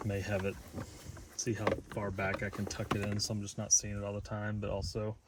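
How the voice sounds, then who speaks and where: A man casually talking through a hands-on install, halting and thinking out loud as he works. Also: on the low side, monotone. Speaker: DIY vehicle install presenter. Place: in and around a parked pickup truck. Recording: noisy vehicle sound with clicks.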